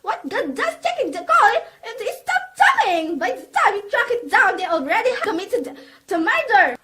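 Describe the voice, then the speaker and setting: A woman's voice: extremely high pitch, sing-song, normal speed, sharp tone, a little hiss or hum, heavily acted. A woman playing a frantic, imperious, self-pitying invalid wife in a melodramatic radio play, agitated and demanding. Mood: fretful, insistent.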